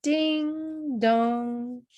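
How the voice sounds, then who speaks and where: A woman's voice: high voice, drawn-out words, darkish tone, muffled recording. A woman reading a picture story aloud expressively for children. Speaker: storybook reader.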